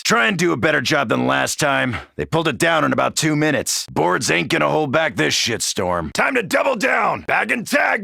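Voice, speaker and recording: A tough American marine from a video game, with a heavily gravelled, forceful voice. He is brash and wisecracking, swinging widely in pitch as he delivers cocky, foul-mouthed battle lines. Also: no echo, clean studio sound, exaggerated voice. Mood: wry, teasing, then fierce, battle-crazed. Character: tough cocky American soldier, gung-ho zombie-slaying marine. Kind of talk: video game character barks.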